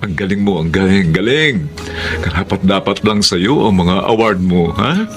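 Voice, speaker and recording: An actor playing an awkward, good-natured middle-aged uncle who speaks haltingly and repeats himself, in a Filipino radio drama. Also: male voice, low-pitched, animated. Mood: proud, congratulating. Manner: halting, repetitive, filler-filled. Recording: clear music under the voice.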